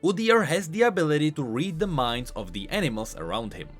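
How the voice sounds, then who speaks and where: A man giving scripted, explanatory commentary as a video creator covering game lore, in an animated manner. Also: a little high, fairly quick, soft background music.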